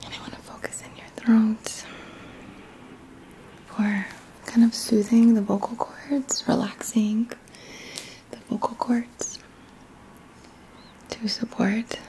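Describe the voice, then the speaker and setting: A woman in her thirties whispers gently and soothingly in a halting, breathy voice, hosting an ASMR guided healing session up close to the mic. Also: on the high side, flat delivery, rough recording. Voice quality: breathy whisper, mostly unvoiced. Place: small quiet indoor room. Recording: faint background noise.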